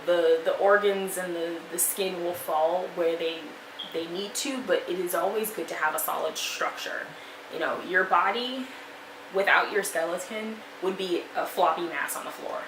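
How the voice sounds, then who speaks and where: Young woman in her twenties, lowish voice, encouraging vlogger tone; roomy recording with steady background noise. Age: 20s. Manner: chatty, encouraging, many fillers. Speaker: vlogger and life coach. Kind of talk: motivational talk to camera. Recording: echoey room with noise.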